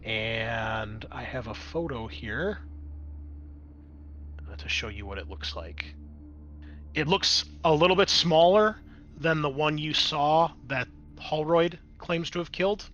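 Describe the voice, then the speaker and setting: A man's voice: on the high side, slow, fairly bright tone, lo-fi sound. A man running a tabletop roleplaying game as game master, improvising scene narration and explaining dice rolls.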